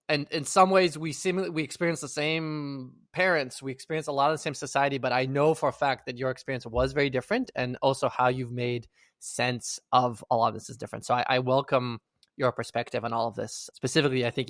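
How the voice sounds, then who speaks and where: Young man, podcast host, mid pitch, chatty rambling questions, dry close mic. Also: fairly bright tone, dry recording. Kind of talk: casual interview talk.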